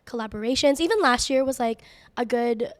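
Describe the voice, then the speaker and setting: A young woman with a high, hoarse, raspy voice, her throat worn out from coughing. She chats casually as a podcast co-host, filling her talk with "like" and "um." The recording is dry and close, with no room echo. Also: brisk. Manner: chatty, many "like" fillers. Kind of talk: casual chat. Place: living room set with pink couch.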